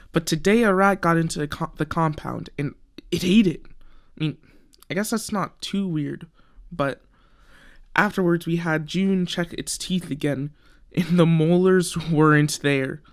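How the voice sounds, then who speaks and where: A man playing an earnest research scientist in a radio play, recording a log and thinking aloud haltingly. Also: on the high side, close to an everyday voice. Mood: puzzled.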